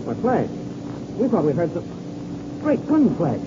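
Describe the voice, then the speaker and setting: An actor playing an excitable, urgent airplane pilot in a 1930s radio adventure serial. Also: male voice, high-pitched, measured pace, full character voice. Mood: alarmed. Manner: urgent, exclamatory. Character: excitable adult male airplane pilot, alarmed, loyal. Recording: muffled, noisy old radio recording.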